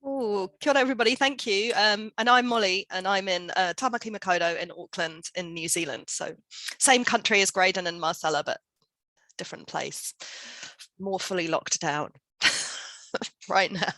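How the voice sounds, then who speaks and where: Brisk woman facilitating a video call. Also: mid-range voice, bright, forceful tone, dry recording. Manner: friendly, brisk organizer. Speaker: workshop facilitator. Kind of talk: casual video-call chat.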